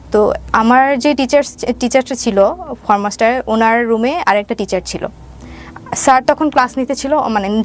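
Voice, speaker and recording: A young woman with a Bangladeshi accent and a fairly high voice. She recounts her own life as an interview guest, hesitating and circling back on filler phrases. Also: slight background noise. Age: young adult. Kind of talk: personal storytelling in an interview.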